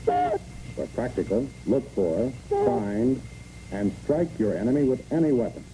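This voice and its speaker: A man's voice: medium pitch, huge pitch swings, measured pace, warm tone, slight background noise. A man reads scripted instructional narration for a training film in clipped, imperative phrases, on a muffled old film recording.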